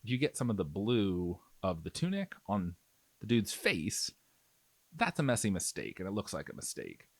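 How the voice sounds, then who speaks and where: A man's voice: fairly low pitch, wildly swooping pitch, moderate pace, no echo. A man giving a casual, explanatory tutorial, talking conversationally as if thinking aloud. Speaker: miniature painting tutorial host. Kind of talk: casual, explanatory tutorial narration over painting.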